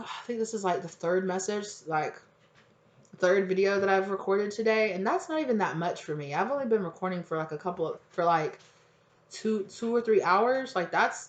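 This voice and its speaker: A woman giving a casual tarot reading, hoarse and close to losing her voice, rambling in slang and acting out little quoted exchanges. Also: mid-range voice. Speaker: tarot reader. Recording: echoey, dull lo-fi room recording.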